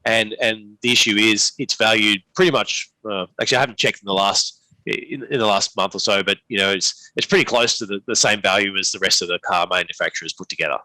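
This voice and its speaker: A man with a lowish, bright voice talks through market analysis as an interview guest. His delivery is unscripted and halting, with repeated words and restarts, and the sound is close and dry. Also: no room sound, low-quality recording. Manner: halting, repetitive phrasing with many restarts. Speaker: investment manager, interview guest. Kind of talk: unscripted market commentary in a live Q&A.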